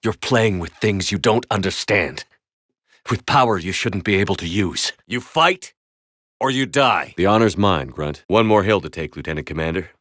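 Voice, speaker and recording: A battle-hardened space soldier in a video game. The voice is fairly low and firm, with clipped, commanding delivery. The recording is very dry, with no room sound. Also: male voice, animated, normal speed, a bit bright, dry recording. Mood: stern, confrontational, then grim, determined. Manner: firm, authoritative, terse.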